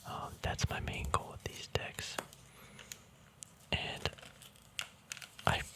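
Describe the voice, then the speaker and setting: Man, unvoiced whisper, breathy, close-miked, casual ASMR chat, unhurried thinking aloud. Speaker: ASMR host. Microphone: mic very close to the mouth and nose.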